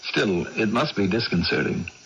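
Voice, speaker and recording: Earnest minister with swooping, expressive delivery. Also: male voice, on the low side. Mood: tender, concerned. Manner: earnest, measured storytelling that turns urgent in dialogue. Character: devoted nephew, gentle 18th-century small-town minister narrating his aunt's story. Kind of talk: 1980s radio drama acting. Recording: dull old radio broadcast, loud music.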